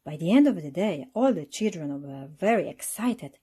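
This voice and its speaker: A woman reading a children's storybook aloud in an expressive narrating style. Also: fairly low pitch, moderate pace, slightly rough. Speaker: storybook reader.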